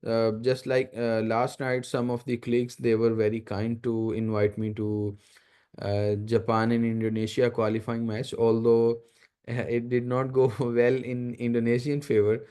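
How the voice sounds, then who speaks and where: Pakistani guy, urdu native, lecturing at uni level, warm relaxed voice, repeats points for emphasis. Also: medium pitch, normal speed, clear, resonant tone. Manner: explanatory, emphatic repetition, rhetorical questions. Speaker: guest university lecturer. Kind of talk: academic lecture. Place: online video meeting.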